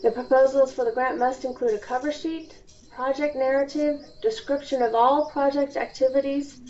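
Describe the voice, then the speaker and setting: Higher-pitched woman presenting on a muffled call. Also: darkish tone. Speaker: grant program presenter. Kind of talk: informal instructional presentation on a conference call. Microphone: conference phone call. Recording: dull, lo-fi phone call with background hiss.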